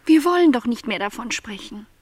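An actress playing an earnest, lonely, vulnerable young housemaid in a literary radio drama, breathy and softly insistent as she questions. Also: a little high, animated, fairly quick, no room sound. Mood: pained, pleading. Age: young adult. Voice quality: breathy, often near a whisper.

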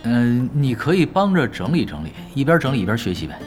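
An actor playing a laid-back young esports expert in an audio drama, casual, patient and teasing, explaining in an analytical way. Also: male voice, mid-range voice, big pitch swings, a little fast, soft background music, lightly acted. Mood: easygoing.

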